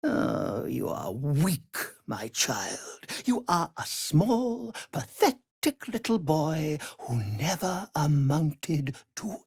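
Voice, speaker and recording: A cruel, aristocratic vampire master as a game villain, in a very dry, close recording. He speaks slowly, savoring his contempt, and his pitch swoops widely from menace to imperious command. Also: sing-song, gravelly, a little mellow, husky, no echo, heavily acted. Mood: taunting, menacing. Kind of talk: dramatic video game villain acting.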